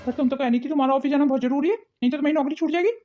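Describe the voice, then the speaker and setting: A woman voicing a calm, reassuring young husband in a Hindi cartoon family drama, with dull, muffled sound. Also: sits high, racing, soft background music, close to an everyday voice.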